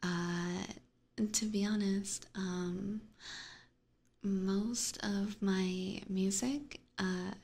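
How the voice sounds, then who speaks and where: A woman hosting an ASMR livestream, chatting with viewers in a soft, breathy whisper close to a binaural microphone. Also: mid-range voice, fairly even intonation, very slow. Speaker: livestream host.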